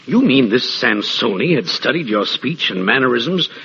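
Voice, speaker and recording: An actor playing an astonished attorney hesitantly questioning a client in a 1940s radio drama. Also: male voice, mid-range voice, big pitch swings, normal speed, bright, forceful tone, slight background noise. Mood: incredulous. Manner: incredulous, hesitant. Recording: muffled vintage radio with faint music.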